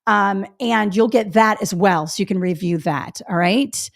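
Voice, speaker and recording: A woman's voice: mid-range voice, measured pace, gravelly. A woman running a test-prep webinar, explaining reading instruction in a conversational, encouraging way with frequent fillers and check-ins. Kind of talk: live webinar teaching and reading chat questions.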